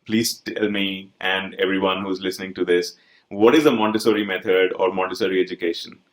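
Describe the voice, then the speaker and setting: A man's voice: deep voice, steady tone, a little fast. A man hosting an interview, asking curious, rambling questions with some hesitation. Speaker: podcast host. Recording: echoey room.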